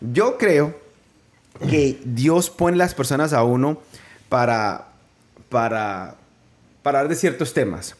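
A man's voice: mid-range voice, animated, slow, pauses often, a little hiss or hum. A man with a Colombian Spanish accent hosting a casual faith podcast, joking and rambling with many restarts. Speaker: podcast host.

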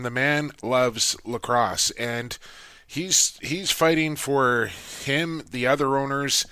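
A man's voice: medium pitch, sharp tone, clean tone, no room sound. A man hosting a sports talk show, chatting casually and teasing his guest. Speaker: podcast host.

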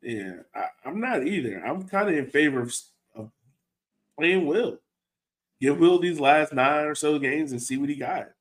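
A man's voice: fairly high pitch, expressive, moderate pace. A man co-hosting a soccer fan show, talking casually and putting questions out for discussion. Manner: conversational, poses questions to co-host. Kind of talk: casual sports chat.

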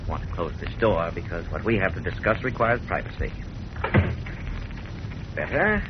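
An actor playing a ruthless fascist agent in a 1940s radio drama, mocking his victim with false courtesy and sharp threats. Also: sits low, sing-song, moderate pace. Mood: smug menace. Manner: sardonic mock courtesy turning to barked threats. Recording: muffled, noisy 1940s radio recording.

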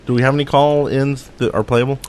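A man's voice: medium pitch, fairly even intonation, a little fast, a little mellow. A man co-hosting a gaming podcast, chatting casually about what he thinks. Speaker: podcast co-host.

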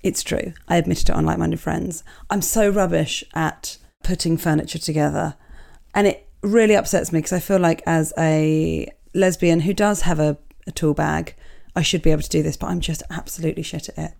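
A woman from England hosting a podcast with a croaky voice from a cold, chatting casually and reading out listener emails with self-deprecating asides. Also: low-pitched, fairly even intonation, moderate pace, darkish tone. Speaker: podcast host. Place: small home office.

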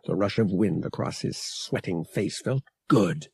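A male audiobook narrator with a gravelly, husky voice tells an adventure story in a dramatic style. His pitch moves around a lot, and the recording is close and dry. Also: expressive, measured pace, darkish tone, dry recording. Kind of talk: scripted dramatic fiction narration.

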